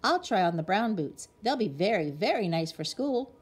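A woman's voice: mid-range voice, very animated, moderate pace, husky. A woman voicing a sulky young boy koala from a storybook, protesting in a growly, whiny manner. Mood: hasty agreement.

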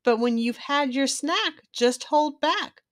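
A caring mother shark who is put out and gently firm, voiced by a woman reading aloud. Her storybook voice is high, with expressive swings in pitch. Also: slow pace. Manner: reproachful, gently instructive. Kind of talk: children's storybook read-aloud.

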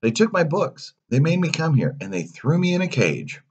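A man voicing a naive, excitable young puppet boy in a storybook, with an eager and hesitant childlike manner. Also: mid-range voice, moderate pace, breathy edge, lo-fi sound, pitched above the performer's own voice. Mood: defensive fibbing. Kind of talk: storybook character voice.

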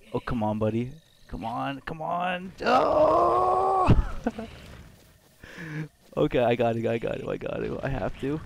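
A man's voice: medium pitch, darkish tone. A man giving casual live video game commentary in short, easily awed reactions. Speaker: let's play commentator. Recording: clear game ambience noise behind. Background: video game ambience.

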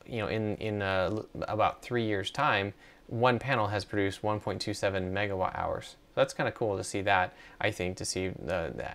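Low-voiced man, casual walkthrough. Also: a bit bright. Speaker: tutorial presenter. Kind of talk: casual screen walkthrough narration.

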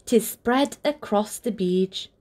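A woman reading a picture book aloud for toddlers, with deliberate, expressive phrasing and encouraging asides. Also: mid-range voice. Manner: deliberate, expressive phrasing aimed at small children. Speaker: children's storybook reader, channel host.

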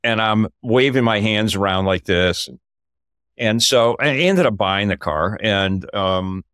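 An older man, a podcast host, telling a story in casual talk over a video call from a home office, his voice climbing when he gets excited. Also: on the low side, unhurried, clean tone, no room sound, clean studio sound.

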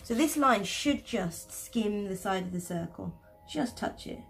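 A woman's voice: mid-range voice, expressive, measured pace, creaky, faint music behind. A woman talking viewers through drawing steps at her desk, chatty and self-deprecating. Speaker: art teacher. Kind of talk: step-by-step drawing tutorial.

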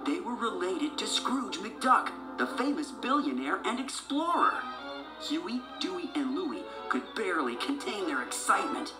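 A man narrates a children's story with a very lively, expressive melody at middle pitch. The recording is roomy, and music plays behind him. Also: a little slow.

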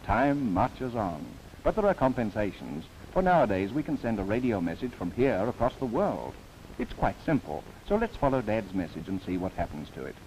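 An old, slightly noisy 1930s film soundtrack carries a man's low-pitched narration. He delivers a formal documentary script with theatrical swings in pitch and a wry touch. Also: very animated, moderate pace, darkish tone.

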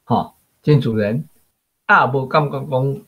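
An older man with a Taiwanese accent giving reflective commentary in halting, short phrases. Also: medium pitch, fairly bright tone, breathy edge. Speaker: guest writer. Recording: dull, lo-fi sound.

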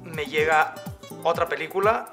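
A man's voice: a little high, moderate pace, over music. A Mexican man in his thirties, an actor being interviewed on a sofa indoors, talking earnestly with many eh and este fillers. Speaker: actor guest. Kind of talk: casual interview. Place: indoor lounge on a leather sofa.

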